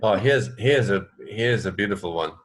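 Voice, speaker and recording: A man's voice: on the low side, normal speed. A man co-hosting a radio show in casual chat, hesitating and repeating phrases as he goes. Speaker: radio co-host.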